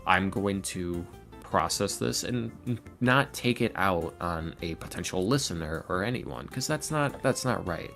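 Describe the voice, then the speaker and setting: A man's voice: sits low, lively intonation, a little slow, vocal fry, a bit bright, soft background music. A man talking casually and earnestly as a podcast guest, in an informal way. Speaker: podcast guest, ASMR roleplay creator. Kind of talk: casual interview chat.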